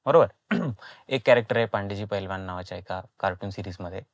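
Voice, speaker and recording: A man's voice: low-pitched, brisk, dry recording, clean studio sound. A man explaining his craft conversationally in an interview, emphatic, repeating phrases for stress and mixing in English words. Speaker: guest, voice and dubbing actor.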